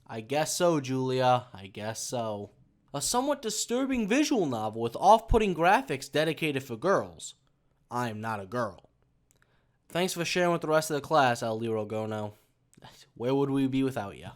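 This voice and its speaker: Sarcastic, foul-mouthed man with very animated delivery. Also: a little high. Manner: foul-mouthed, mocking, exasperated rants. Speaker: YouTube host. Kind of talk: sarcastic comedic how-to narration.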